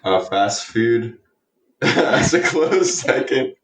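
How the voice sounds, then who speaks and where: A man's voice: mid-range voice, lively intonation, very slow, a little hiss or hum. A young man with a Canadian accent chatting casually and informally as a podcast guest, in a hesitant way. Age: young adult. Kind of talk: casual interview chat. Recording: roomy echo, distant from mic.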